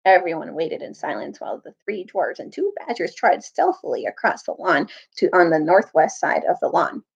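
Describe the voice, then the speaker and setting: A woman's voice: on the low side, very animated, moderate pace, gravelly, slightly rough. A woman reading a children's novel aloud as a storyteller, expressively, now and then stumbling and rereading a line. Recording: dull lo-fi audio.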